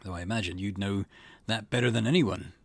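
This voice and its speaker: A man plays a gruff, caring school janitor from an anime game. His voice is fairly low and closely recorded, with a dry sound and a folksy, grumbling delivery. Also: a little fast, no room sound. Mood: paternal.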